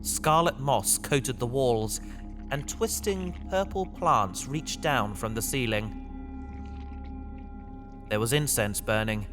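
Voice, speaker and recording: A man playing a reflective space adventurer, narrating his own story in a measured, descriptive way for an audio drama. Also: mid-range voice, lively intonation. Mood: hushed wonder. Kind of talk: scripted first-person audio drama narration. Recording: music behind the voice.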